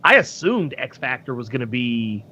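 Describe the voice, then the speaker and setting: A man's voice: medium pitch, very animated, normal speed, sharp tone, low-quality recording. A man chatting casually as a podcast guest, hesitant and thinking aloud with frequent restarts. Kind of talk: casual live comic book discussion.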